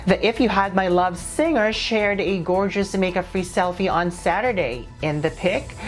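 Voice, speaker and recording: A woman reports entertainment news from a script. Her voice sits slightly low, with soft music running underneath.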